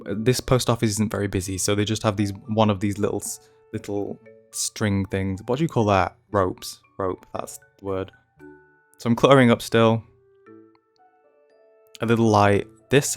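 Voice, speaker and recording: A man's voice: on the low side, clear, resonant tone. Chatty man with a cold. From United Kingdom. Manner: chatty, ranting, self-deprecating asides. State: has a cold. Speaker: gaming channel host. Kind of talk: casual gameplay commentary.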